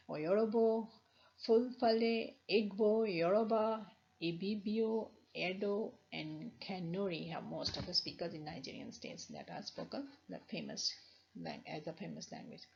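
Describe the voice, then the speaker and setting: A woman's voice: on the low side, creaky, a little mellow. A woman with a Pakistani accent, a university lecturer explaining over slides, with many restarts and fillers. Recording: dull lo-fi recording.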